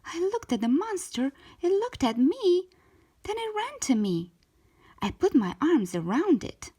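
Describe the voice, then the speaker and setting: A woman reading a children's story aloud as a storytime host, expressively and with drawn-out emphasis for young listeners. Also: extremely high pitch, sing-song, dark tone. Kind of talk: animated children's story narration.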